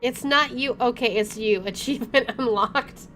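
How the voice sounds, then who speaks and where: Higher-pitched woman doing game playthrough. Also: moderate pace, fairly bright tone. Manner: informal, reactive. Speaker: gaming video host. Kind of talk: playthrough commentary and reading game narration aloud. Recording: music behind the voice. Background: game music.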